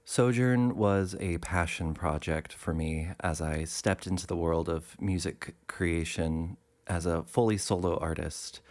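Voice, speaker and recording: A man with a low voice gives a sincere creator's note to listeners. The sound is dry, with no room around it. He talks plainly and directly. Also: a little mellow, no echo. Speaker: creator and musician addressing listeners. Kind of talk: sincere spoken thank-you note.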